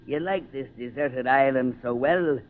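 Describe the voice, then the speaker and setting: An actor playing a villainous ship's first mate, gruff, bossy and taunting, in old time radio adventure style. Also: male voice, medium pitch, measured pace, lightly acted. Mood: gloating. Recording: tinny narrow-band old radio recording.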